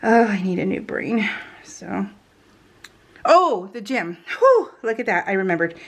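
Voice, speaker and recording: A woman with a mid-pitched voice talks casually while she crafts. She is chatty and giggly, drifting from thought to thought and often interrupting herself, in the relaxed style of a real-time hobby vlog. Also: measured pace. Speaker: craft vlogger.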